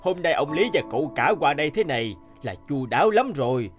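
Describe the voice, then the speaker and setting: A man's voice: a little high, very animated, a little fast, soft background music. A man voicing a stern, stubborn elderly father of a noble house in a folk-tale cartoon. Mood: obliging. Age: older. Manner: scolding, patriarchal. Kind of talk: animated folk-tale dialogue.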